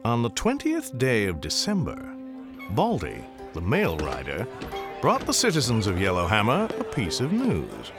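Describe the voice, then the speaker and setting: An actor narrating a radio storybook tale of the Old West as a wry, courtly storyteller. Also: male voice, fairly low pitch, wildly swooping pitch, moderate pace. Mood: wry storytelling. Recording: music behind the voice.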